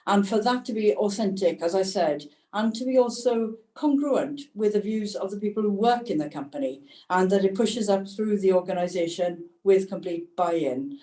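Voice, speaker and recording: A woman on a webinar panel, speaking in a measured, thoughtful way with pauses and fillers over a video call. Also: medium pitch, mellow. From Wales. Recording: echoey room, distant mic.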